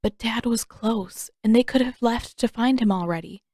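A woman's voice: on the high side, moderate pace, mellow, no echo, clean studio sound. A woman reading fiction aloud as a dramatic audiobook narrator.

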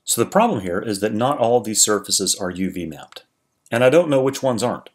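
A man narrating a screen-recorded 3D software tutorial, talking through each step in a relaxed, explanatory way with frequent small restarts. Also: lowish voice, brisk. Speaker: 3D tutorial creator.

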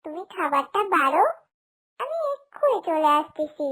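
Tiny kid girl for a cartoon, super high voice, cheerful, sing-song and eager. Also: big pitch swings, normal speed, a bit bright. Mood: eager pleading. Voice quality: childlike, high-pitched. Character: cheerful, eager little girl animal, a dutiful daughter. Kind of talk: cartoon character acting.

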